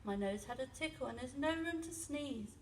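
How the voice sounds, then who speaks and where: Woman acting fretful little old lady, high-pitched. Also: expressive, moderate pace, echoey room. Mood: pleading, exasperated. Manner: plaintive, exclaiming. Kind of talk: children's storybook read-aloud. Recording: distant mic with faint noise.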